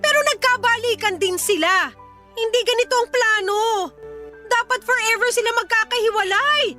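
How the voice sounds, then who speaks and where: A woman's voice: very high-pitched, moderate pace, pushed and bright, faint music behind. A woman acting a desperate, demanding young mother in a Filipino radio drama, indignant and insistent. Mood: accusing.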